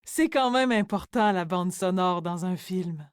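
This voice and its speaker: A woman's voice: fairly low pitch, measured pace, clean tone, dry recording, clean studio sound, natural voice. A woman playing an opinionated moviegoer in a casual scripted chat with a friend. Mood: lively, opinionated.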